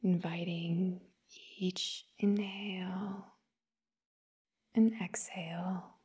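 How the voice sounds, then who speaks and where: Very slow, breathy, whispery woman guiding meditation. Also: on the low side, flat delivery. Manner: gentle, soothing, long pauses between short phrases. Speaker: meditation guide. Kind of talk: guided meditation narration.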